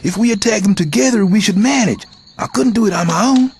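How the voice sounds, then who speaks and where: A man's voice: high-pitched, brisk, breathy edge, exaggerated voice. A man playing a sly, scheming camp thug with a show of friendliness in a fantasy video game. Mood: persuasive, scheming.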